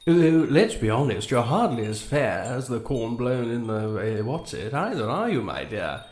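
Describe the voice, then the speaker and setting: Guy doing a bumbling vague king, stammers and rambles, pitch jumping about, roomy echo. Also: very animated, normal speed, a little mellow, lightly acted. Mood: dithering, apologetic. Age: middle-aged. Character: vague, bumbling, dithering middle-aged king. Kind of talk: comedy audio drama acting.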